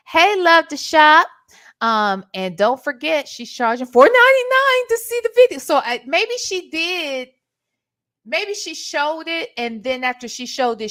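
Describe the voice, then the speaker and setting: A woman with a fairly high voice hosts a casual livestream. She talks bluntly and in slang, exclaiming as she comments and reads from the chat. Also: fairly bright tone. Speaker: livestream host.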